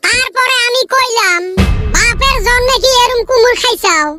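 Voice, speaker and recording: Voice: very high voice, normal speed, music in the background. A performer voicing a boastful, complaining young village lad in a comic cartoon, with a rural Bengali dialect. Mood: aggrieved recounting. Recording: very noisy amateur audio with clicks.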